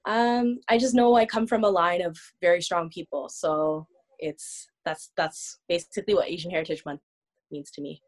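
A woman's voice: lowish voice, steady tone, normal speed. A woman from Canada, a guest on a podcast, talking in a casual, earnest way and laughing easily. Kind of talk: casual chat.

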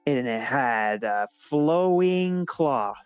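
A man's voice: mid-range voice, expressive, drawn-out words, smooth, dry recording, dull audio, faint music behind. A man playing an officious, status-minded job applicant in a naturalistic comedic audio drama, formal, pointed and prone to stammer when bluffing. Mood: evasive, bluffing.

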